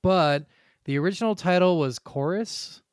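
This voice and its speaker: A man's voice: high voice, moderate pace, clean tone, no room sound, clean studio sound. A man hosting a casual gaming podcast, chatting bluntly and swearing freely. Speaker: podcast host.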